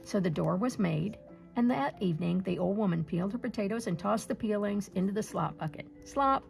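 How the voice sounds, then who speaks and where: A woman storyteller reading a picture-book folktale aloud in an expressive way. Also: mid-range voice, a little fast, gravelly, faint music behind.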